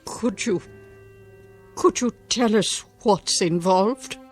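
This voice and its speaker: An actress playing a gentle, frail wife in her seventies in a radio drama, tender and hesitant. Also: medium pitch, slow, soft background music, natural voice. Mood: earnest. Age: older.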